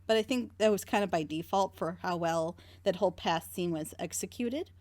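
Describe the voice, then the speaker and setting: A woman's voice: lowish voice, moderate pace, a little mellow, dry recording. Talkative woman with a dry, close sound. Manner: talkative, opinionated. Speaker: host of a group reaction show. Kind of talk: casual group discussion and critique.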